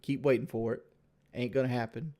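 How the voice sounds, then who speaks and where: Male streamer, casual livestream chat, mid pitch, blunt and profane, lots of restarts. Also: fairly even intonation, a little fast, dark tone. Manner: repeats himself and restarts often.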